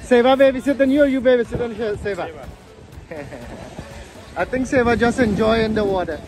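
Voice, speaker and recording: A man's voice: very high voice, fast, a little mellow. A man with a Guyanese accent hosting a casual family vlog on a beach, joking and teasing the people around him as he films on a phone. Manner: jokey, teasing, laughs often. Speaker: vlog host. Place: sandy beach outdoors. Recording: outdoor beach with music and crowd chatter. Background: background music and crowd chatter.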